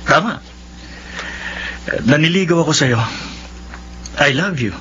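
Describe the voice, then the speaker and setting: A man acting as an earnest, patient young suitor who courts gently. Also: fairly high pitch, lively intonation, slow pace, exaggerated voice. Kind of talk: spoken drama acting. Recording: dull lo-fi audio with faint hum.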